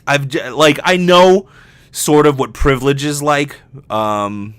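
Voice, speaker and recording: A man chatting casually as a solo podcast host, rambling and animated, swearing freely and often interrupting himself. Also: a little high, slow pace, clean tone, no echo.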